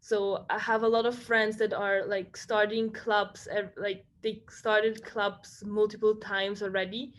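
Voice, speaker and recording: A woman's voice: medium pitch, little pitch movement, measured pace, hardly any pauses. A young woman from Ukraine, a student leader, presenting eagerly over a video call with frequent restarts. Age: young adult.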